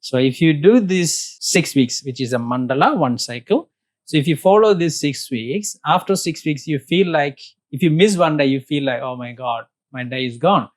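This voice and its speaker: A man's voice: fairly high pitch, lively intonation, normal speed, dry recording. Male guest from Kerala, Malayali accent, patient teacherly explainer; dry, close recording. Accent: Indian English, Malayali. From Kerala, South India. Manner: patient, explains with examples, repeats "correct". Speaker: Ayurveda practitioner, guest. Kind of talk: explanatory interview talk.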